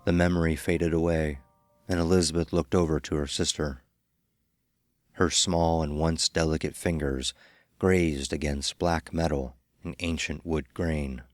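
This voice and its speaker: A man's voice: a bass voice, no room sound. A man narrating a scripted horror story. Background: faint music.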